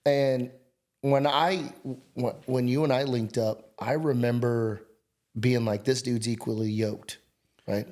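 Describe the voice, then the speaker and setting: Guest, man, 40s, mid-pitched, upbeat, energetic and casual. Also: slow. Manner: upbeat, energetic, restarts and slang. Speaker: podcast guest. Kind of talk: casual interview chat.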